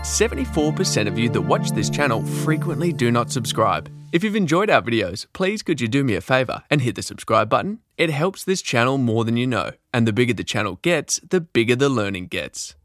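A man reading a scripted podcast intro in a smooth, lively way. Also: mid-range voice, a little fast, few pauses, no room sound. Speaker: podcast announcer. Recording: dry voice over faint music.

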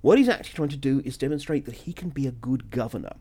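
A man's voice: mid-range voice, lively intonation, quick pace, warm tone, breathy edge. A man talking through political analysis as a solo podcast host, conversational and discursive, with asides and self-corrections.

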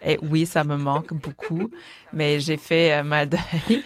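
Slow, gravelly woman. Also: low-pitched, flat delivery. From Victoria, British Columbia, Canada. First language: English. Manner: slow, thoughtful, with hesitations. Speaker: podcast co-host. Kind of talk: casual chat.

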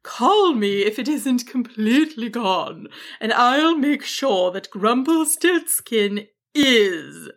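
Woman playing a forceful, commanding storybook dragon. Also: medium pitch, very animated, exaggerated voice. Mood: protective, ominous. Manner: slow, stern, deliberate. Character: fierce, commanding, protective female dragon.

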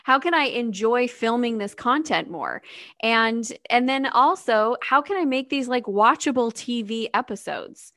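A woman's voice: fairly high pitch, lively intonation, a little slow, a bit bright, no echo, clean studio sound. A woman hosting a podcast, telling a story about her own work with lots of "like" and acted-out inner thoughts. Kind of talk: casual podcast chat.

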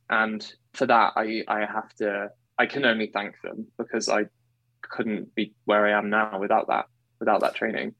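A man in his thirties from England with a baritone voice, a guest talking earnestly about his training, often correcting himself mid-sentence. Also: fairly bright tone, no room sound, low-quality recording. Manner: earnest, self-correcting, effusive. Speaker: guest, actor and singer. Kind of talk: conversational interview.

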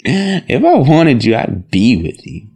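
A man's voice: mid-range voice, wildly swooping pitch, slow pace, creaky, darkish tone, slightly rough, soft background music, heavily acted. A man acting a devoted young farmer husband in an audio drama, speaking in an earnest, folksy, plain-spoken way. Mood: guarded.

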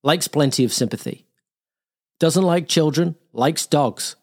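A man's voice: on the high side, unhurried. A man playing a wartime air force airman, reading a blunt, slangy diary in a terse, clipped manner. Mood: wry, dismissive. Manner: clipped, terse, matter-of-fact.

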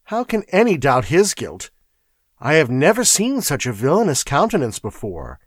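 Man voicing a lisping, foppish young noble; high, affected, snooty. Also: wildly swooping pitch, slightly rough, no room sound, studio quality, heavily acted. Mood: haughty. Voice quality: affected lisp. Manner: fastidious, disdainful drawl.

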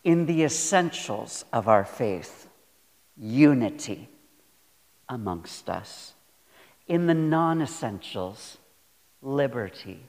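A man preaching a sermon as a pastor, earnest and deliberate, repeating phrases for emphasis. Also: mid-range voice, lively intonation, darkish tone. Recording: long hall reverb.

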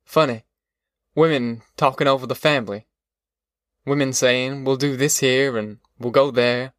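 A man's voice: medium pitch, fairly even intonation, unhurried, fairly bright tone, no echo, clean studio sound, lightly acted. A man voicing a defeated, homesick middle-aged farmer in an audiobook, plainspoken and resigned, with a rural Southern American accent. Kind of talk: audiobook character dialogue.

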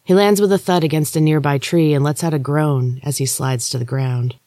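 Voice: medium pitch, fairly even intonation, fairly quick, dark tone, no room sound, studio quality. A podcast reader narrating a first-person story from a script. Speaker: audiobook reader, podcast host.

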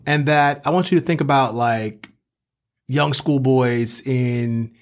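A man's voice: mid-range voice, slow. A man co-hosting a literary podcast, reflective and scholarly but colloquial in his phrasing. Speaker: podcast co-host and professor.

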